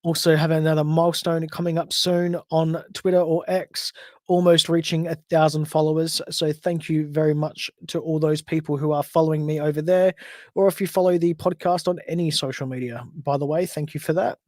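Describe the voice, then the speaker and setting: A man's voice: on the high side, level tone, brisk, a little mellow, dry recording, studio quality. An Australian man hosting a solo podcast livestream, chatting casually and rambling with frequent self-corrections. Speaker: podcast host.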